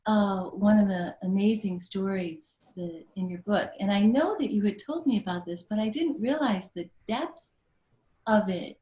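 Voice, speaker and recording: A woman hosting a talk show, effusive as she introduces and questions her guest. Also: medium pitch. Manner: warm, effusive. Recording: echoey studio with faint music.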